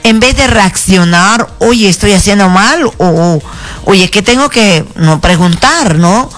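A woman from Peru whose first language is Shipibo, speaking Spanish with a Shipibo accent as a guest in a radio interview. She talks in an earnest, halting way, with many restarts and "¿no?" tags. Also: mid-range voice, animated, a bit bright. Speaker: artisan association president, guest.

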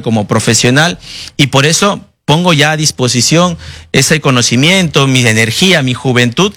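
A man's voice: mid-range voice, fairly bright tone. A man in his thirties answers interview questions as a candidate. He talks in an earnest, self-assured way, building his case point by point. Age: 30s. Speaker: mayoral candidate.

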